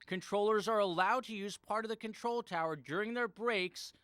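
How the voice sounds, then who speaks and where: A man's voice: sits high, normal speed, sharp tone, no room sound. A man narrating a scripted investigative television news report as a reporter.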